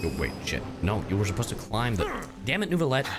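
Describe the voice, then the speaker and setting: Animated male game streamer. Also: on the low side, brisk. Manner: joking, sweary, reactive. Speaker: streamer and professional voice actor. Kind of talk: casual live game stream commentary. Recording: faint game music behind. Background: game music.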